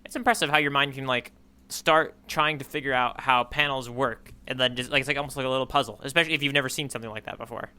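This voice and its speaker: A man's voice: medium pitch, fairly even intonation, quick pace, sharp tone, clear, resonant tone. A man co-hosting a manga readalong podcast in casual chat, riffing playfully. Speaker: podcast co-host.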